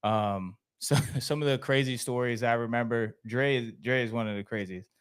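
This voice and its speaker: Young man, podcast host, casual storytelling, laid-back, mid-pitched voice. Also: normal speed, clear, resonant tone. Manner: laid-back, storytelling, frequent laughs and fillers.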